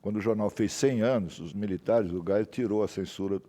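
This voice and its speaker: Old brazilian guy in his 70s, low warm voice, chats in long stories. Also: a little fast. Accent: Brazilian Portuguese. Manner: rambling, anecdotal, frequent tag questions. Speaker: journalist guest. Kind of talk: interview storytelling.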